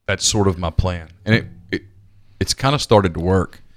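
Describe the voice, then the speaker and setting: A man's voice: low voice, normal speed, no echo. A man co-hosting a podcast in a studio, chatty and rambling through ads and small talk. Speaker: podcast co-host. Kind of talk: casual sports talk and live ad reads. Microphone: studio microphone.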